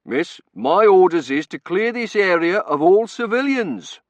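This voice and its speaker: Guy doing a bossy stout wartime warden, stern and pompous, loud pushed-up storybook voice. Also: high voice, sing-song, a little slow, no echo, muffled recording, pitched above the performer's own voice. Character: stout, stern, officious British air-raid warden.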